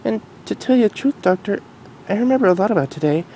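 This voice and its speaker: Man voicing a high, gentle pegasus mare. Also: quick pace, a little hiss or hum, poor audio. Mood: grave. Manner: calm, explanatory, slightly cute. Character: gentle, knowing older mare from the future.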